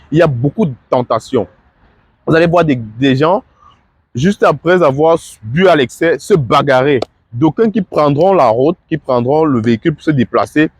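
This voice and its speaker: A man with the flu gives his followers earnest advice in a casual, rambling monologue full of repeats and fillers. His voice sits a little high. The sound is dry and close. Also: no room sound. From Africa. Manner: repetitive, stammering, fillers like "hein"; earnest, advising. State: has the flu. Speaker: vlogger.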